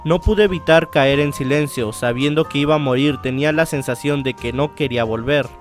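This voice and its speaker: A man's voice: a little high, a little fast, faint music behind. A man hosting a let's play, reading visual novel narration aloud and now and then stumbling and rereading phrases.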